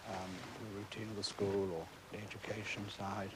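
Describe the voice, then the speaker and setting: Man, British accent, lowish voice, school principal, formal interview, measured earnest phrasing. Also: little pitch movement, very noisy.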